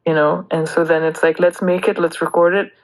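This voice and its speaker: A speaker talks in a casual, conversational way. The voice has a middling pitch and moves very little up or down. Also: little pitch movement, a little fast, low-quality recording.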